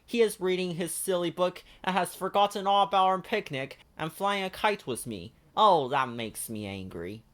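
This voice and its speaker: An excitable, impulsive young fellow from a children's storybook, acted out by a male reader. His delivery is eager and exclamatory, jumping higher and louder than plain narration. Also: normal speed, few pauses. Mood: impatient, cross. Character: excitable, impulsive young male friend, cheerful then cross.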